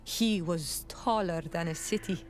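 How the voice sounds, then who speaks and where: A woman's voice: on the low side, measured pace, faint music behind, close to an everyday voice. An actress playing a proud, loyal young warrior woman of a tribe in a video game cutscene, with solemn, formal and intense phrasing. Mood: solemn storytelling.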